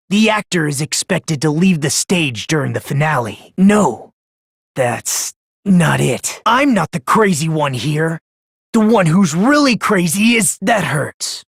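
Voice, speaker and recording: A frail, unhinged teenage boy from a video game, with a breathy, husky voice in a dry recording. He is polite and self-deprecating, speaking with theatrical fervor about his obsession with hope. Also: medium pitch, no room sound, full character voice. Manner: polite, theatrical, swinging from gentle to fervent. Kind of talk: English video game voice acting.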